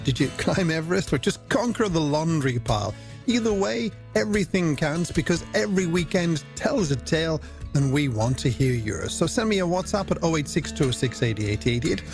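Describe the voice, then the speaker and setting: Creaky-voiced male late-night radio host. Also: a little high. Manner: playful, wordy patter addressed to the listener. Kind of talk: scripted, chatty late-night radio presenting. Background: music.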